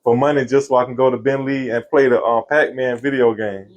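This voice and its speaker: A man of fifty from Florida, telling stories from his life in a relaxed interview. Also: mid-range voice, brisk, muffled recording. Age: 50s. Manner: relaxed, laughing, Southern slang. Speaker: interview guest, singer. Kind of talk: casual storytelling interview.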